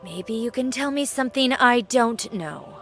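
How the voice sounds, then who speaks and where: A woman's voice: on the high side, animated, a little slow. An actress playing a tough, defiant, hot-tempered military agent in a video game, blunt and sardonic in her delivery. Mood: sardonic, probing. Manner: blunt, challenging, dramatic. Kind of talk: video game cutscene acting. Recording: music under the voice.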